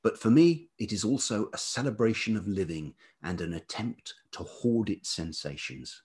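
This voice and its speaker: A man with a velvety voice talking reflectively as an interview guest, thoughtful and halting, often pausing mid-phrase. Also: fairly low pitch, animated, darkish tone, husky. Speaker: podcast guest, writer and life writing teacher.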